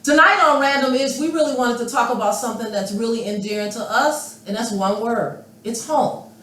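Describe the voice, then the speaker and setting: An American woman moderates a panel discussion as its host. Her voice sits a little high. The room is very echoey, with faint noise behind her. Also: normal speed, fairly bright tone. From Chicago.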